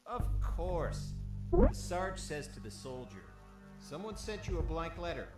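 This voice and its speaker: A man playing a jokey soldier on guard duty in a video game, telling gags in an animated way, with an echo on the recording. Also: fairly high pitch, measured pace. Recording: echoey, loud game music behind.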